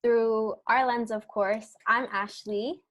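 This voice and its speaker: A young woman co-hosting a casual student podcast, upbeat and quick-talking. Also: fairly high pitch, fairly even intonation, lo-fi sound. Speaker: podcast co-host.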